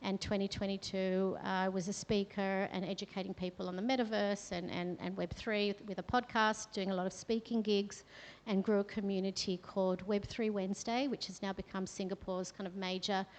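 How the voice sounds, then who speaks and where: A woman's voice: mid-range voice, little pitch movement, a little fast. A woman from Australia with an Australian accent, telling a chatty, story-led talk to a meetup audience with many fillers. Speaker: guest speaker. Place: event room with a live audience. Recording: long reverb in a venue hall.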